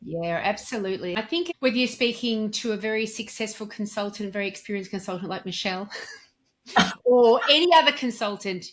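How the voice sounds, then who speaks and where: A woman's voice: medium pitch, a little fast. A woman explaining and giving advice in a podcast interview, articulate and emphatic, in the manner of a trainer. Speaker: dental communication trainer and consultant, podcast guest.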